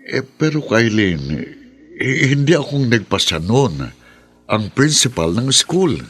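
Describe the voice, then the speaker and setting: An actor playing a flustered, apologetic male teacher in a Filipino radio drama, with a Filipino accent and a hesitant, expressive delivery. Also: medium pitch, takes it slowly. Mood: startled.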